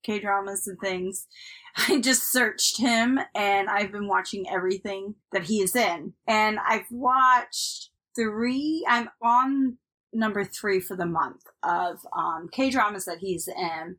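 A woman's voice: medium pitch, takes it slowly, bright, forceful tone. A woman co-hosting a casual podcast, chatting in an upbeat, rambling way with plenty of fillers. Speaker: podcast co-host. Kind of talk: casual chat.

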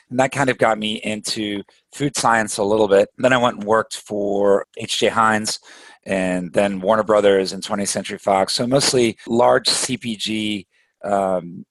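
A man from Belgium, a business executive guest on a podcast, explaining things in a relaxed way with frequent filler words. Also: lowish voice, dry recording, poor audio. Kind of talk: conversational interview answers.

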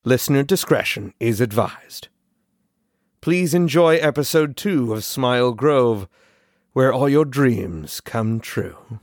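Male announcer: unhurried scripted disclaimer and intro, dry studio-style sound. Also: medium pitch, animated, no room sound. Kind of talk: scripted content warning and show introduction.

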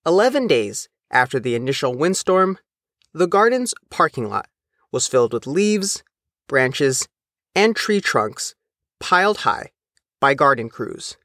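A man reading a scripted news feature as the reporter, in a measured, careful way. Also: a little high, sing-song, a bit bright, dry recording, clean studio sound. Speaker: reporter and narrator.

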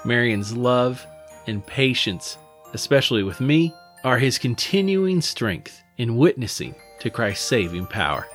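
A pastor with an Indiana accent giving a devotional address and blessing, speaking expressively. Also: male voice, mid-range voice, big pitch swings, a little slow, fairly bright tone, faint music behind.

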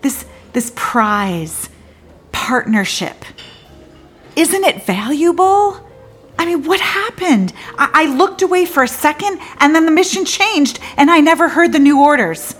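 An actress playing a disillusioned woman lawyer in a theatrical monologue, confiding in a halting, wry and bitter way with abrupt swings. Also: high voice, lively intonation, fairly bright tone, faint music behind. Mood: disoriented, indignant.

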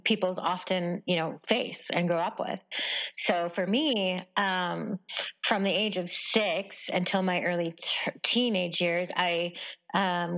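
A woman in her forties from Canada, a journalist guest speaking thoughtfully in an interview, with many pauses and fillers. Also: lowish voice, fairly bright tone, rough recording. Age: 40s. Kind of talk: conversational interview.

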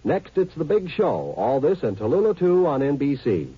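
A man's voice: medium pitch, huge pitch swings, moderate pace, slightly rough. A man announcing network promotions in 1950s radio style. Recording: muffled old radio recording.

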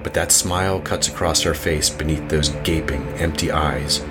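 Very low male voice, horror audio drama narrator, measured, suspenseful, music underneath. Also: fairly even intonation. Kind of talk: scripted horror story narration.

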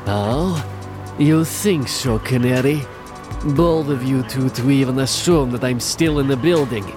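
A man playing a smug, theatrical supervillain in an audio drama, with a taunting, drawn-out delivery. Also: medium pitch, music in the background, lightly acted. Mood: mocking. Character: smug, theatrical male supervillain with grand schemes.

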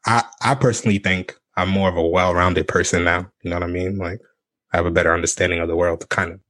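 A man hosting a casual group discussion, relaxed and full of fillers. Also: deep voice, fast talker, dry recording. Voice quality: relaxed, filler-heavy, laughs often. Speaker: podcast host.

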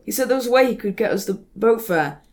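A woman's voice: a little high, expressive, fast, darkish tone, lightly acted. A young woman playing an anxious, whiny male accomplice to a thief in an amateur audio drama. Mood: pleading.

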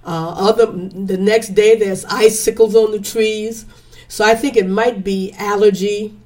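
A woman hosting a podcast, sounding congested and a little hoarse, chatty and repetitive with preacherly emphasis. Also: medium pitch, fairly even intonation, a little slow, darkish tone. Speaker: podcast host. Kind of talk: casual podcast intro.

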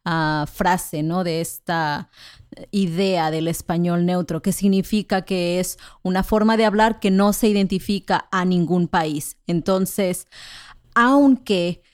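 A Mexican woman co-hosting a podcast, speaking in a measured, clear way for learners and often affirming with soft murmurs. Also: lowish voice, no room sound, clean studio sound. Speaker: podcast co-host. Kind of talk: slow, clear conversational chat for learners.

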